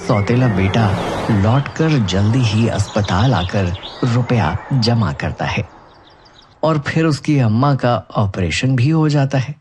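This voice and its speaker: A man's voice: mid-range voice, lively intonation, slow pace, over music. A man narrating a scripted children's moral story.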